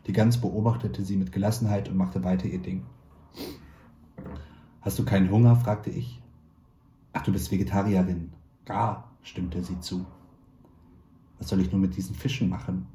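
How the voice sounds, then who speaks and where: A man reading a short story aloud in a calm, measured way, lightly voicing the dialogue. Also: on the low side, roomy sound. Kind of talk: literary reading.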